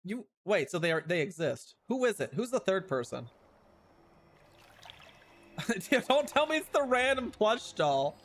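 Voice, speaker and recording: Animated, high-pitched man streaming a game. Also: measured pace, pauses often, slight background noise. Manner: talks to himself, guessing aloud. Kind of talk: livestream game reaction commentary. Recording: music behind the voice.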